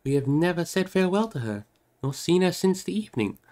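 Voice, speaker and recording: A man's voice: high voice, big pitch swings, brisk, darkish tone, pitched above the performer's own voice. A man voicing an earnest young male hobbit from a storybook, crying out in distress. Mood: distressed, calling out. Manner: crying out emotionally.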